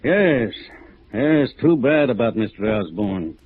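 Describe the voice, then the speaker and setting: Husky, folksy, evasive small-town sheriff. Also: male voice, mid-range voice, very animated, exaggerated voice. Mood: wary. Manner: hesitant, folksy, drawn-out understatement. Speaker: actor in a radio drama. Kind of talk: 1950s radio drama acting. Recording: narrow, tinny old radio recording.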